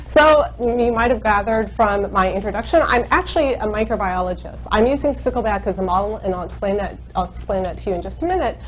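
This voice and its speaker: A woman in her thirties with a loud voice, giving a university seminar lecture into a microphone in a lecture room, chatty with frequent ums and uhs. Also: medium pitch, lively intonation, fairly quick, few pauses. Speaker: guest speaker, microbiologist. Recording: muffled recording with faint hum.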